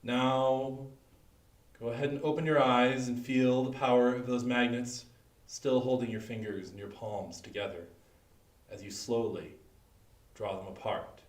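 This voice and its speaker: A man speaking as a stage hypnotist, with deliberate, dramatic phrasing full of pauses and repetition. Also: mid-range voice, flat delivery. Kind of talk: theatrical hypnosis demonstration patter. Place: echoey room with an audience, streamed live. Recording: echoey room, distant microphone, online stream.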